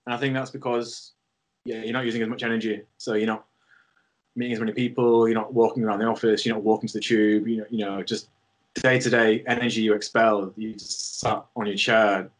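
A man's voice: lowish voice, level tone, brisk. A man, talking loosely and swearing in a casual podcast chat. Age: 30s. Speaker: podcast co-host.

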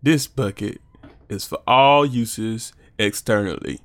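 A man putting on a smug, lower-voiced ad pitchman. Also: slow pace. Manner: mock-commercial delivery, chuckling "ha ha". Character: smug male infomercial pitchman selling buckets. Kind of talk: comedic fake ad read.

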